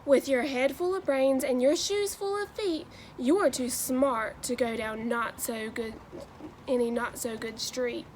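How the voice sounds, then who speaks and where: A young woman with a high voice does a children's read-aloud. Her pace is very unhurried and her delivery has a sing-song storybook lilt. There is faint noise behind her. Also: darkish tone. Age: 20s. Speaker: new education graduate reading a children's book.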